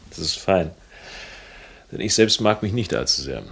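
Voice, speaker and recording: A man's voice: deep voice, expressive, normal speed, gravelly, a bit bright, husky, exaggerated voice. An actor playing a wry, loyal, self-deprecating man in a radio crime drama, with a dry, laconic delivery. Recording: noisy vintage radio recording.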